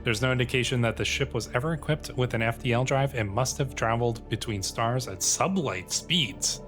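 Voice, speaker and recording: A man's voice: mid-range voice, moderate pace, a bit bright. A man giving casual let's-play commentary as a gaming host, thinking aloud in rambling questions and reading out game text. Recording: music playing behind the voice. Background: game music.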